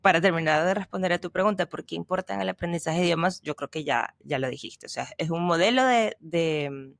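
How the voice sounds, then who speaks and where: A woman co-hosting a podcast, casually explaining things with a Spanish accent mixed with Argentine, pausing and restarting as she talks. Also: lowish voice, creaky, dry recording, studio quality. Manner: hesitant, restarts and fillers while explaining. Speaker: podcast co-host, language teacher. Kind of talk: casual conversational chat.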